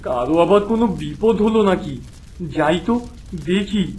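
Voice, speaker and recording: An anxious high-voiced villager. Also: male voice, expressive, normal speed, darkish tone. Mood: worried, puzzled. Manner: anxious, questioning. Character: concerned young village man, kindly and bewildered. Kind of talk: cartoon voice acting.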